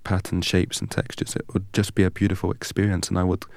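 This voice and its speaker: Guy from england, really low and steady voice, barely moves in pitch, dry studio sound. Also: vocal fry, dry recording. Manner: measured and deliberate, recites long strings of numbers evenly. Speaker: interview guest. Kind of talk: reflective storytelling in an interview.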